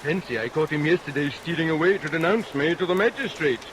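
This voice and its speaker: An actor playing a sly, gloating murderous barber with theatrical mock politeness in a vintage radio melodrama. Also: male voice, fairly high pitch, animated, fast talker, close to an everyday voice. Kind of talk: old-time radio melodrama acting. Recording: noisy vintage radio recording under rain sounds.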